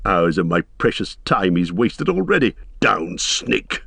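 A man playing a wise old wizard in a fantasy storybook, speaking with measured, solemn and stern authority. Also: sits low, very animated, creaky, fairly bright tone, slightly rough, no echo, exaggerated voice.

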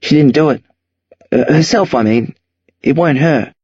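A man voicing a blunt, streetwise teenage boy who talks urgently and insistently in a husky voice. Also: a little high, normal speed, darkish tone, no room sound, highs cut off. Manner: urgent, insistent, rough slang.

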